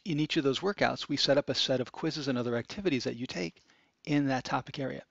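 Gravelly man demoing software. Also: mid-range voice, no echo. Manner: upbeat, explanatory, walks through clicks step by step. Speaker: product demo presenter. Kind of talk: screencast walkthrough narration. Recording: dry, slightly dull sound.

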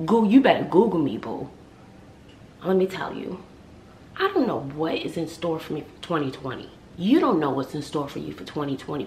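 A middle-aged American woman chatting casually to camera in a sassy, emphatic, comedic way, repeating herself for effect. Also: low-pitched. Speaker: vlogger. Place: indoors at home, mostly in a kitchen. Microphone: handheld or nearby camera at arm's length. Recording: echoey room with clear background noise.

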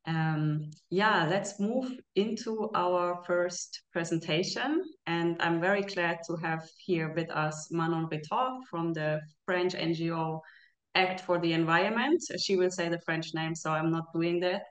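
Young woman moderator, deep low voice, friendly, headset in a roomy space. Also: measured pace. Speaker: webinar moderator. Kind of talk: moderating a webinar. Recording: roomy video call audio.

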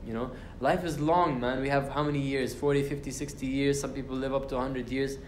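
A man's voice: medium pitch, steady tone, fairly quick. Young guy teaching a uni study circle, chatty and loose, echoey classroom with a bit of hiss. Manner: conversational, many fillers and restarts, recites Arabic verses. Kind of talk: informal tafsir class talk. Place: university lecture room.

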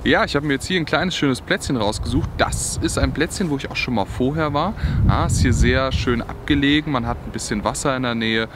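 Young adult male outdoor vlogger, relaxed chatty delivery, camera mic outdoors with clear wind noise. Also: mid-range voice, brisk. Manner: relaxed, chatty, many "ja" fillers. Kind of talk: casual cooking vlog narration. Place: outdoors in a windy wood in rain. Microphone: camera mic at arm's length. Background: wind and rain.

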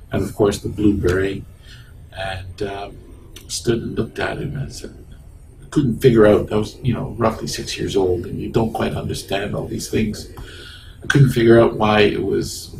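Older Egyptian-born man, gravelly voice. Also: lowish voice, far from the mic, background noise. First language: Arabic. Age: 60s. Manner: hesitant, many ums and restarts. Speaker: interviewee, engineering professor. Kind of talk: oral history interview. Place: small indoor office. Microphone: lapel mic.

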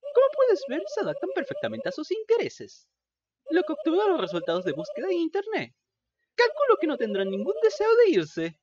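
Woman acting a high, haughty robot queen villain. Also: wildly swooping pitch, a little fast, a little mellow, muffled recording, exaggerated voice. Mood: smug. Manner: theatrical, imperious. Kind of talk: fan-dubbed video game dialogue.

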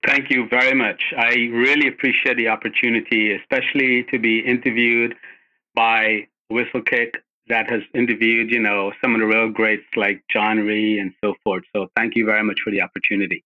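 A man from Guyana, a martial arts instructor being interviewed, who answers politely and graciously with some pauses. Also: lowish voice, flat delivery, dull audio.

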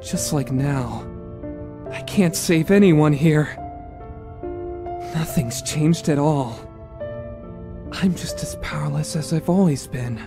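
A man's voice: on the high side, slow, pauses often, over music. A man playing a weary, selfless young man in an anime fan dub, earnest and subdued. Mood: despairing.

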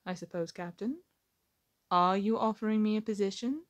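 A woman's voice: mid-range voice, moderate pace, lightly acted. A woman playing an assertive, wry captive pirate admiral in an ASMR roleplay, with a formal, theatrical, teasing delivery.

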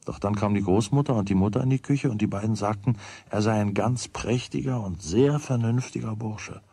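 A man narrating a children's radio play as a wry, deliberate storyteller with a husky voice. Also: fairly low pitch, mellow, dry recording. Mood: wry, measured. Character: wry storyteller of a boy's everyday troubles.

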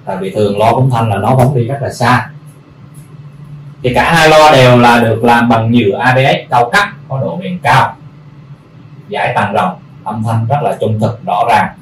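A man presenting products in an unscripted demonstration, explaining in a halting, repetitive way as he searches for words. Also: mid-range voice. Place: indoor room full of audio equipment. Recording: roomy, distant mic, faint background noise.

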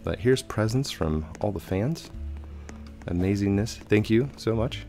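Deep-voiced man, slightly hoarse from a cold. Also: unhurried, mellow, faint music behind. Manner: chatty, rambling, with frequent fillers. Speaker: gaming channel host. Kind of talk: casual let's play commentary. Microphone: headset mic.

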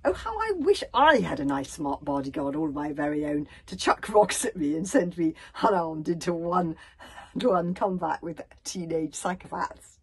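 A woman's voice: on the low side, animated, normal speed, husky. A woman voicing a sarcastic, complaining Viking boy with a dry sense of humour.

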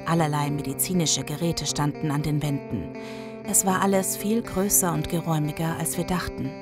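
An actress as a female survivor narrates a grim zombie radio play. Her voice sits very low for a woman, over clearly audible guitar music. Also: fairly even intonation, normal speed, close to an everyday voice. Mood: grave, measured. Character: survivor recounting a grim zombie apocalypse expedition. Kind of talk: scripted first-person audio drama narration.

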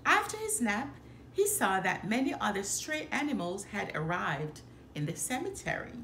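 A middle-aged woman reading a children's storybook aloud with careful, expressive phrasing, as a storyteller. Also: mid-range voice, normal speed. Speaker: storyteller, channel host. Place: indoor living room by a fireplace. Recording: roomy echo, some background noise.

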